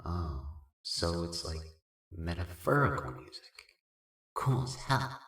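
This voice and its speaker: A low-pitched, cool and supportive sprite friend, played by a man, with very lively swings in pitch. The talk is slangy and casual, in a fan-made audio drama with a roomy echo. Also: slow, exaggerated voice. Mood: impressed, playful. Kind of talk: amateur fan-fiction audio drama acting. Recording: roomy, echoing voice track.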